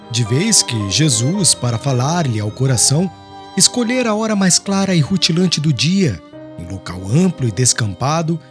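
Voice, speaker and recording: A man narrating a literary audiobook in a solemn, expressive, scripted manner. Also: a little high. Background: music.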